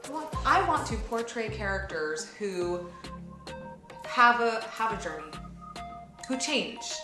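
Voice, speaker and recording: A woman's voice: on the high side, animated, slow pace. A woman giving a casual, reflective interview as a voice actor, talking expressively and emphatically and correcting herself as she goes. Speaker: voice actor in an audio drama. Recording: roomy echo with music behind. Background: music.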